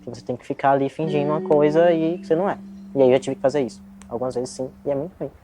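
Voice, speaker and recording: A man's voice: mid-range voice, fairly even intonation, racing, music in the background. A man in his twenties with a Bahian Brazilian accent, giving a reflective, articulate interview answer in a spontaneous way, often adding little tag words. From Salvador, Bahia, Brazil. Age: 20s. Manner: reflective, articulate, frequent "né" tags. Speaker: interviewee, psychologist and DJ.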